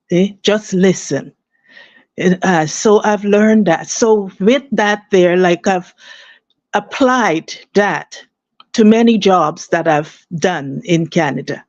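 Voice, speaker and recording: Older woman, slow, earnest, dry dull lo-fi sound. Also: fairly low pitch, darkish tone, dry recording. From Guyana. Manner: earnest, peppered with "you know" and "eh". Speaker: guest. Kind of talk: conversational storytelling.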